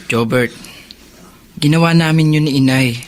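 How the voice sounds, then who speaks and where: Man playing higher-voiced, guilt-laden older brother. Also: measured pace, a little hiss or hum, close to an everyday voice. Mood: pleading. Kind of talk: Filipino radio drama acting.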